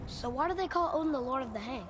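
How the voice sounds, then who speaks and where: A man's voice: very high voice, animated, quick pace, noisy background. A young male actor playing a curious, earnest boy in a video game. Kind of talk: video game character acting.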